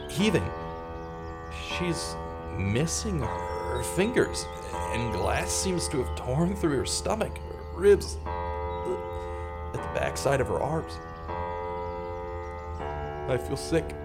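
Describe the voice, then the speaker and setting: Over clearly audible music, an actor voices a distraught man narrating a dark audio drama in the first person. His pitch moves around widely. He speaks dramatically and haltingly, repeating himself as he blames himself. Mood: horrified, urgent. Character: distraught, self-blaming male radio man turned amateur pursuer.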